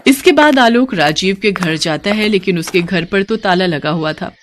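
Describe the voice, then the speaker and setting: A woman's voice: mid-range voice, expressive, moderate pace. A woman narrating a scripted moral bedtime story as a storyteller.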